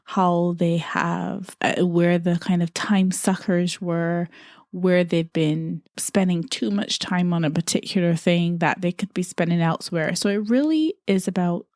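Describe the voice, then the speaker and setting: A woman's voice: fairly low pitch, unhurried, darkish tone, no echo, clean studio sound. A middle-aged woman from Barbados hosting a solo podcast, talking in an earnest, musing way and repeating phrases for emphasis. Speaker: podcast host. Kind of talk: reflective solo podcast talk.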